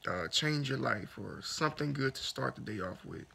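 A man in his thirties lying in bed in a dark bedroom, talking softly and earnestly into a phone held close in a raspy morning voice, then praying aloud. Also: mid-range voice, animated. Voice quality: gravelly. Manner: soft, unhurried, earnest with many restarts. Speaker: fitness vlogger. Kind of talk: casual morning talk and spoken prayer. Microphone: phone camera held close.

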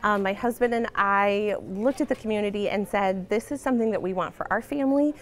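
A woman's voice: medium pitch, brisk. A woman in her forties, the owner of a library space, chatting in a friendly, talkative interview, recorded indoors on a lapel mic. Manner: friendly, talkative, laughs easily. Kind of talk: casual interview. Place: indoor library with coffee shop.

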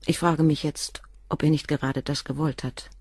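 A woman playing the professor's bitter wife in a radio play, speaking in a quiet, resigned way. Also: low voice, little pitch movement, moderate pace, breathy edge, no room sound.

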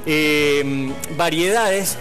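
A man's voice: a little high, extremely slow, a bit bright, low-quality recording. A man in his forties with an Argentine accent, presenting kitchen gadgets to a TV panel in a studio, explaining and asking them questions. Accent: Argentine Spanish. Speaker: technology columnist. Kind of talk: live TV morning show segment. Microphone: headset. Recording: broadcast studio with background music.

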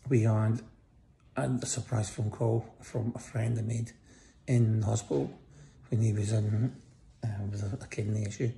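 A middle-aged man with a croaky voice, lying in a hospital bed and casually giving a personal update into a phone held close above him, tired and pausing often. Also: lowish voice, level tone, mellow, slight background noise. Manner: lying in bed, tired, talking slowly with many pauses. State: croaky voice after breathing tubes. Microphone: phone held close above face.

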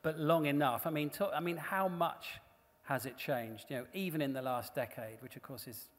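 A man's voice: fairly high pitch, normal speed. A man hosting a live panel interview on stage, asking chatty questions with fillers. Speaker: stage host. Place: large hall on stage before an audience. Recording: long hall reverb.

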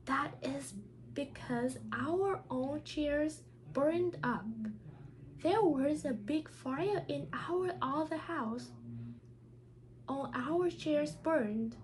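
A woman speaks in careful, halting non-native English, in the style of a teacher reading a children's storybook aloud. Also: high voice, a little mellow, slight background noise, poor audio.

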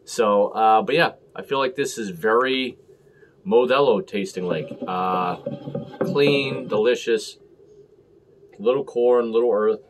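An American man hosting a casual beer review to camera, talking in halting, clipped short phrases. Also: fairly low pitch, background noise. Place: small room at home, indoors.

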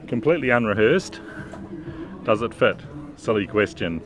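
A man's voice: lowish voice, expressive, moderate pace, background noise. A middle-aged man hosting a sailing vlog from on board his boat, chatting upbeat with a few fillers. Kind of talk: casual vlog narration and chat. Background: music under the narration.

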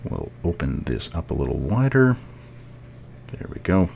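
A man's voice: sits low, very animated, unhurried, creaky, darkish tone, a little hiss or hum. A man giving a casual, step-by-step tutorial narration as a programming instructor, explaining as he goes.

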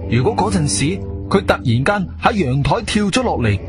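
A man's voice: a little high, sing-song, moderate pace. An actor with a Guangzhou Cantonese accent playing an inquisitive, self-assured investigator who tells his own story in a theatrical radio drama style. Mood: pondering. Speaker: narrator and lead actor. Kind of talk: Cantonese radio drama, first-person narration and dialogue. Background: music.

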